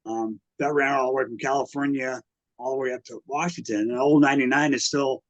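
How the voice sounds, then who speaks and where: A man's voice: medium pitch, steady tone, brisk, dry recording. Man in muffled audio, rambling casually. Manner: rambling, explanatory. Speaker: museum founder and curator, interview guest. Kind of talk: casual interview talk.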